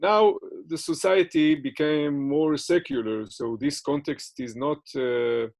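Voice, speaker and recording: A man's voice: on the high side, slow pace, a little mellow. A man giving interview answers in English, which is not his first language, with many fillers and restarts.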